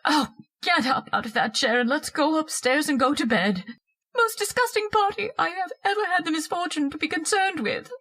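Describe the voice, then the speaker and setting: A woman's voice: sits high, moderate pace, pushed and bright. A woman playing a snobbish, scolding wife and party hostess in old-time radio horror style. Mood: scornful, aggrieved. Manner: haughty, scolding, theatrical declaiming.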